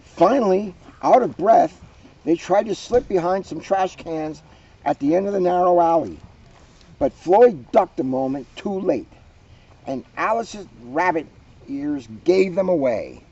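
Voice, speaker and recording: Male, animated storybook reader, unhurried, casual asides, muffled sound. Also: high voice, breathy edge. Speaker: police officer reading a children's book.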